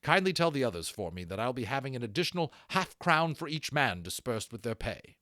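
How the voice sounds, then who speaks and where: A man's voice: medium pitch, sing-song, fairly quick, sharp tone, dry recording, clean studio sound, pitched above the performer's own voice. A man voicing a courteous, affectionate naval officer in an audiobook, with a formal, gracious, old-fashioned manner. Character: courteous, affectionate naval gentleman turned dragon aviator.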